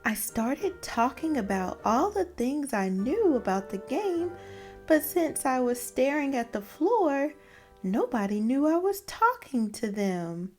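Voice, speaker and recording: Woman reading as an earnest, expressive young boy zebra. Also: sits high, slow pace, heavily acted. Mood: anxious, earnest. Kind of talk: storybook character voice. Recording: music behind the voice.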